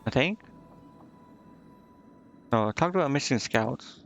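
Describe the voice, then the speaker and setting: A man's voice: mid-range voice, expressive, slow pace, vocal fry. A man doing casual live game commentary as a streamer, hesitantly thinking aloud to himself and reading on-screen text out. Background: game music.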